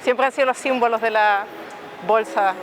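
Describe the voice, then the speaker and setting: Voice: mid-range voice, fairly even intonation, moderate pace, music in the background. Chatty travel host outdoors. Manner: chatty, explains landmarks and facts, asks viewers questions. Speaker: travel vlogger and guide. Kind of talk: casual travel narration to camera. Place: outdoors on a city tower deck and streets.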